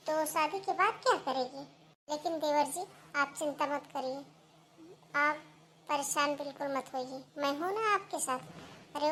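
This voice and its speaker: A woman's voice: sits high, fairly bright tone, slight background noise. Woman voices a manipulative cartoon sister-in-law, coaxing, cold underneath. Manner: coaxing, then blunt and cold.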